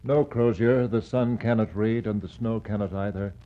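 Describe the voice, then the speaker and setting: Searching explorer played by a male actor: somber and incantatory, low register, vintage radio-drama narration with dulled sound. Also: steady tone, slight background noise, natural voice. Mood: solemn compassion. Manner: measured, solemn, incantatory. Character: somber explorer searching for a lost expedition's traces. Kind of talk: 1960s radio drama acting, poetic narration. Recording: muffled 1960s radio recording.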